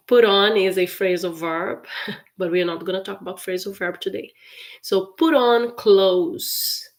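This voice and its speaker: A woman teaches everyday English on a live stream. She speaks very slowly, repeats each phrase with care, and often laughs lightly between lines. Her voice sits at a medium pitch. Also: a bit bright. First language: Portuguese. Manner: slow, careful repetition of phrases with frequent laughs.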